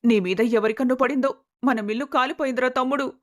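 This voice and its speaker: A woman's voice: high voice, brisk, natural voice. A woman playing a scheming older sister who puts on exaggerated sympathy in a family story melodrama. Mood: mock wailing.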